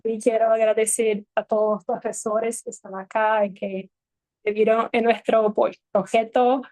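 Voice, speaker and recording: Brazilian woman giving a measured academic talk. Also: fairly high pitch, flat delivery, a little mellow, dry recording. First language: Portuguese. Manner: measured, halting, many pauses. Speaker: panel speaker, international law lawyer. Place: university event hall. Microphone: microphone at a panel table.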